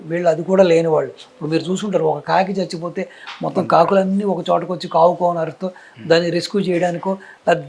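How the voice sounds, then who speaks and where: A man's voice: a little high, gravelly, rough recording. A man with a Telugu accent giving opinionated political commentary, emphatic and rhetorical, posing questions and piling up repeated phrases.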